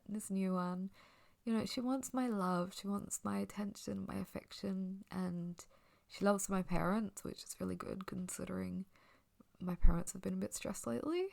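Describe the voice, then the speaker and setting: A young woman from Australia rambling casually for a sleep audio, slightly croaky from hay fever, drifting into whispers. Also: medium pitch, darkish tone. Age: young adult. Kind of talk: soft sleepy rambling chat.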